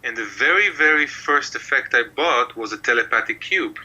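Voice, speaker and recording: A man's voice: medium pitch, lively intonation, normal speed, pushed and bright, lo-fi sound. A middle-aged man from Israel, a mentalist telling his story in an interview, rambling with many fillers. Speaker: mentalist guest. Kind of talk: relaxed interview talk.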